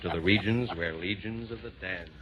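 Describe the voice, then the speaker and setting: A man narrating an old radio play in rhyme, theatrical, measured and wry. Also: deep voice, heavy background noise. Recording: dull vintage radio recording.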